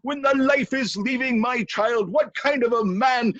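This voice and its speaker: A man acting a blustering, high-pitched Irish king. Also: sing-song, moderate pace, a bit bright, no echo, muffled recording, heavily acted. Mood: indignant. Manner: grandiose, blustering, fond of repeating himself. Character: blustering, henpecked old-time Irish king.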